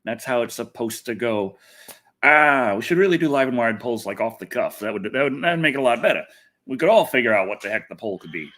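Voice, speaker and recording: Animated, rambling man hosting a livestream. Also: medium pitch, brisk. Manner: rambling, animated, self-interrupting. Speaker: livestream host. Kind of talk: casual gaming and movie news livestream.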